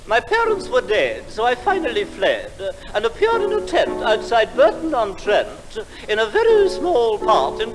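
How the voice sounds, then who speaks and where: A man's voice: extremely high pitch, heavily acted, low-quality recording. A man playing a pompous old theatrical dame, reciting a reminiscing patter number in a 1950s stage revue. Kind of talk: 1950s revue patter song over music. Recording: old live theatre recording with music.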